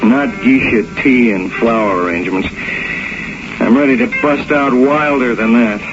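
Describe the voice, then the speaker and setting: A man's voice: mid-range voice, moderate pace, natural voice. An actor playing a brash, lovestruck young surfer turned airman in a slangy, hesitant 1970s radio drama style. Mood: wry, reminiscing. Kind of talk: 1970s radio drama acting with first-person narration. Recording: dull vintage radio recording, loud music.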